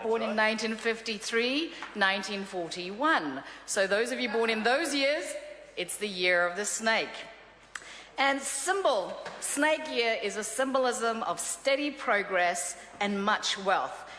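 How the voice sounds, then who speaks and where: A woman's voice: on the high side, bright, forceful tone, a little hiss or hum. A middle-aged woman, a native Korean speaker, giving an upbeat parliamentary speech in a large chamber, with frequent filler sounds. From South Korea. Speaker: member of parliament. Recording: echoey chamber with long reverb.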